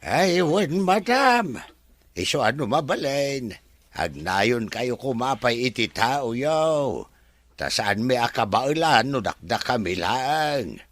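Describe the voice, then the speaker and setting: A man playing a deferential, excitable farm worker in a radio drama, with drawn-out folksy exclamations. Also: medium pitch, dry recording. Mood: eager, respectful.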